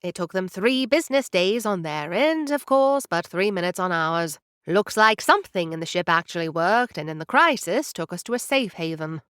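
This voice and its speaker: A woman's voice: fairly low pitch, expressive, moderate pace, hardly any pauses, a bit bright, smooth, no echo, clean studio sound. A woman playing a curt tiny ship captain. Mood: brusque. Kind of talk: audio drama acting.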